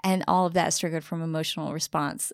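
A woman's voice: deep voice, fairly even intonation, fast, vocal fry, dry recording, clean studio sound. A woman hosting a podcast in casual conversation, affirming and sharing her own experience. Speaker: podcast host.

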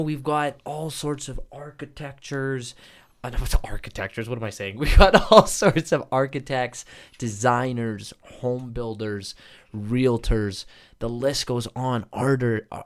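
A man co-hosting a podcast, giving a casual, upbeat and rambling introduction full of fillers and small slips. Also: medium pitch. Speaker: podcast co-host.

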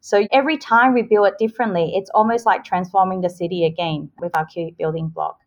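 A woman's voice: fairly low pitch, steady tone, a little fast, dull audio. A woman in her forties who grew up in Australia after a Hong Kong childhood, talking earnestly and cheerfully as a podcast guest. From Hong Kong, raised in Australia. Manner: earnest, upbeat, explains with homely examples. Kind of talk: casual interview answers.

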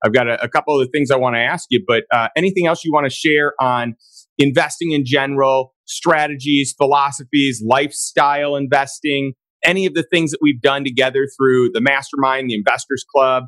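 A man hosting a podcast, his voice hoarse and raspy from days of talking, speaking emphatically and enthusiastically. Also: mid-range voice, steady tone, brisk, a bit bright, dry recording. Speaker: podcast host. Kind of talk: casual interview chat.

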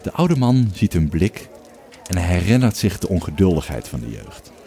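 A man with a very deep voice narrates a scripted story. His tone is dark and mellow, and he delivers each line in a measured way. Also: very animated.

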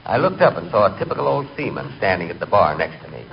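Deep-voiced, animated hapless storyteller. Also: male voice, fast talker, creaky, full character voice. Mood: storytelling. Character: nervous, hapless, literal-minded man telling his misadventures. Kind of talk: 1940s radio comic monologue. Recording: dull, noisy 1940s radio recording.

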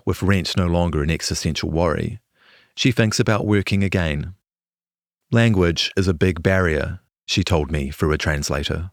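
A man's voice: deep voice, no room sound, studio quality. A man reading a scripted longform news feature as a journalist narrator.

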